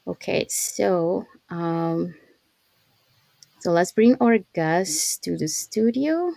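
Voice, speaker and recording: A woman's voice: lowish voice, lively intonation, drawn-out words, vocal fry, dark tone, no room sound. A woman from Panama hosting a live online business show over a video call, with frequent ums, uhs and restarts. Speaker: host.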